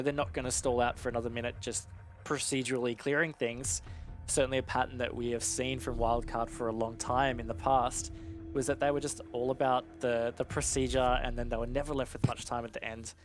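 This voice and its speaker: A man giving analytical esports commentary in a conversational, explanatory way. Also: mid-range voice. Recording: noisy game gunfire and clicks behind.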